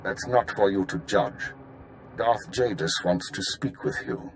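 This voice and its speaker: An actor playing a stern, cold intelligence superior in a video game, measured and authoritative, heard as a distant transmission. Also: male voice, sits low, a little hiss or hum. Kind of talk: video game cutscene acting.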